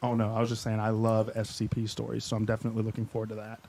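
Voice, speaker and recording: Mellow-voiced enthusiastic stream host. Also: male voice, fairly low pitch, monotone, very fast, creaky. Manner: friendly, enthusiastic, reads chat questions. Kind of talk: casual interview chat.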